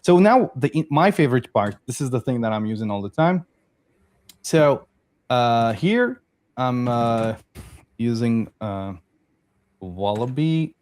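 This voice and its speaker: A man demonstrating code on a livestream call, talking casually and halting, repeating words as he works. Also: medium pitch, expressive, a little mellow. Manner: halting, repeats words while working on screen. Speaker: guest developer. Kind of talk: casual tech chat while demoing code.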